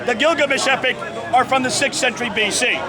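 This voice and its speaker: A man arguing his case in a busy street, pitched very high and projecting loudly over the listeners. He lectures like a preacher, turning often to the crowd. A thick crowd murmurs all around him. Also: fast talker. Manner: loud, preachy, addresses the crowd as folks. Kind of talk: heated outdoor debate. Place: outdoors in a busy city street. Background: crowd noise.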